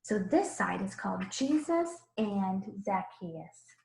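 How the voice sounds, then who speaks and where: A woman's voice: medium pitch, sing-song, takes it slowly, creaky, a little mellow. A woman kindergarten teacher reading a picture book aloud, expressively, with simple explanations for young children. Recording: roomy, echoing room sound.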